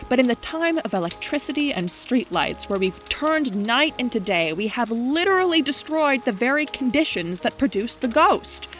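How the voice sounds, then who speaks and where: A woman acting in an audio drama as a teasing, stubborn young skeptic with a brisk, argumentative manner. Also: on the high side, a bit bright.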